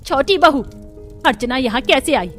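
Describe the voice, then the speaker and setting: A woman's voice: high voice, lively intonation, moderate pace, fairly bright tone, soft background music, voice pushed up. A woman as a bossy older mother-in-law. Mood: scolding. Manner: commanding, scolding.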